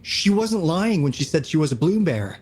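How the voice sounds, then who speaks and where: An actor playing an earnest, friendly young comrade in a video game, forthright and husky-voiced. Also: male voice, a little high, very animated, moderate pace, darkish tone. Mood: serious, explanatory. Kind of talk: video game character acting.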